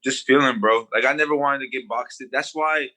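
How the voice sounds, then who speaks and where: A man's voice: mid-range voice, fairly even intonation, a little fast, bright, forceful tone. A man being interviewed as a guest on a podcast, talking loosely with frequent restarts and casual swearing. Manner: loose, rambling, swears freely, many restarts. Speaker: guest musician.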